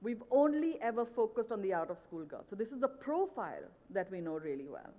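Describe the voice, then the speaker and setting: A woman delivers an earnest, persuasive conference talk from the stage. Her voice rings out with a long reverb in a large event hall. She keeps a mid-range pitch. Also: sing-song, fairly quick, warm tone. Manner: earnest, persuasive, with frequent "you know". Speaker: conference speaker.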